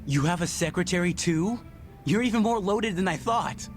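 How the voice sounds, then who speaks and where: Polite, lively, higher-voiced young man. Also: moderate pace, faint music behind. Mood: eager, grateful. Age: 20s. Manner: polite, earnest. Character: polite, naive, grateful young man in his twenties. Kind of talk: video game cutscene dialogue.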